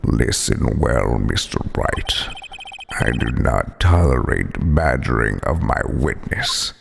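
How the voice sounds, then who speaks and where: A man's voice: very deep voice, very animated, takes it slowly, vocal fry, background noise, voice pushed down, heavily acted. A man voicing a stern, haughty male prosecutor from a video game, speaking in a commanding, disdainful manner. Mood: cold, disdainful.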